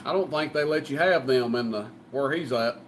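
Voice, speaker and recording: A man's voice: mid-range voice, moderate pace, very noisy. A man chatting casually as the host of a CB radio live stream. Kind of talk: casual chat.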